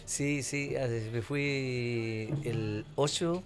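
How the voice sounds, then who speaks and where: A man from Chile chatting on the radio in a relaxed way, often answering with short agreements. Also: medium pitch, drawn-out words. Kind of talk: casual radio chat.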